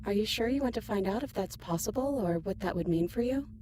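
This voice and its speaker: An actress playing a calm, otherworldly princess goddess who speaks as many selves, in a dramatic video game. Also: female voice, mid-range voice, fast, natural voice. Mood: tender, searching. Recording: loud music behind the voice.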